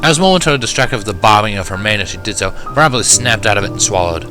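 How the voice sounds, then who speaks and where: A man's voice: on the low side, animated, very fast, over music. A man reading scripted first-person fan fiction as the narrator.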